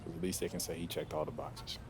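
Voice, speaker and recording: A man's voice: low-pitched, flat delivery, fast talker, creaky, background noise. A man acting a young American basketball prospect in a game cutscene, plainspoken, casual and understated. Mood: questioning.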